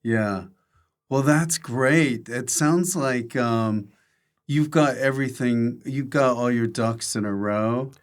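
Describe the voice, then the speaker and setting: Slow-paced man hosting a casual chat. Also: medium pitch. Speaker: podcast host, comedian. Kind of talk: casual conversational interviewing.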